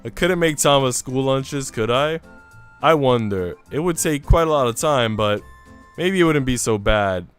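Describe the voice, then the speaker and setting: A man's voice: medium pitch, lively intonation, unhurried, smooth. A man streaming a visual novel, moving between story narration and casual chat with viewers. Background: soft background music.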